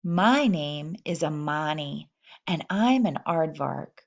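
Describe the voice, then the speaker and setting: Female storybook reader, unhurried, expressive read-aloud for young children, slightly muffled recording. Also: low voice. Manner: expressive, speaking as baby animals in first person.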